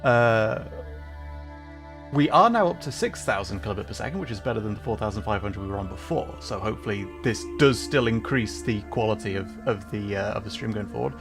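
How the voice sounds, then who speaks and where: A man's voice: on the low side, fairly quick, creaky. Rambling male streamer over music. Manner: rambling, self-deprecating, apologetic. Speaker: VTuber streamer. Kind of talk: casual livestream chat. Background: background music.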